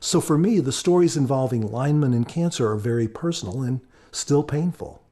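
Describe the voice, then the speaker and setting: A man with a husky voice, an author giving earnest, measured, reflective commentary on a story. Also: medium pitch, expressive, dark tone. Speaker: author and podcast guest commentator.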